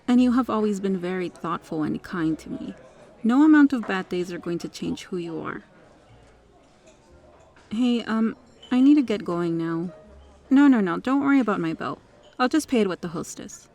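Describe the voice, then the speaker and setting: A woman's voice: medium pitch, gravelly, warm tone. A woman playing a kind, caring restaurant regular in an ASMR roleplay, soothing and reassuring.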